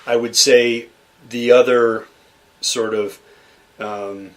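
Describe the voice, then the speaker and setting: A man's voice: mid-range voice, level tone, slow, rough recording. A young man from Massachusetts, a podcast guest, telling stories about his childhood in a loose, rambling way with lots of fillers and restarts. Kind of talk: relaxed conversational storytelling.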